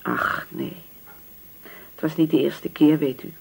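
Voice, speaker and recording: An actress playing a poor, anxious, grieving widow in a radio drama, speaking hesitantly and submissively. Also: female voice, sits low, huge pitch swings, slow pace, creaky, husky, full character voice. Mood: subdued, sad. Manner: hesitant, repeating words, submissive.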